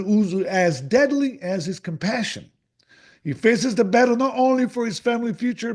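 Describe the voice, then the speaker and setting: A man hosting an author interview, enthusiastic and prone to halting restarts. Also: high voice, a little mellow. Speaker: podcast host.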